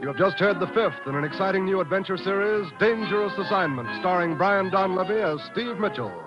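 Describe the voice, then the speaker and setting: A man's voice: high-pitched, animated, fairly quick. Old-time radio announcer guy reading credits, music under him, dull vintage sound. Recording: muffled vintage radio recording.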